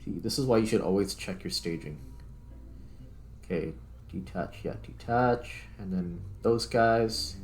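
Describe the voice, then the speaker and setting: A man's voice: low voice, unhurried, a little hiss or hum. A man doing casual let's-play commentary, thinking aloud informally as he works through a game. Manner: informal, muttering to himself while working. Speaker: gaming video host, player. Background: background music.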